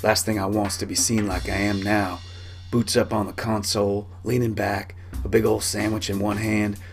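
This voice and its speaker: A man's voice: on the low side, level tone, moderate pace, faint music behind. A man reading a first-person novel as a folksy cowboy storyteller, in rustic dialect. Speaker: author reading his own novel.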